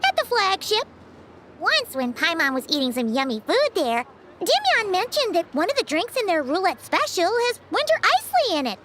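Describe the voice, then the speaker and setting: A woman voicing a tiny floating fairy companion who is bubbly and boastful, chirpy and excitable, in video game dialogue. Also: very high voice, moderate pace, heavy background noise. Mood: eager. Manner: excitable, chirpy, talks of herself in third person. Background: game music.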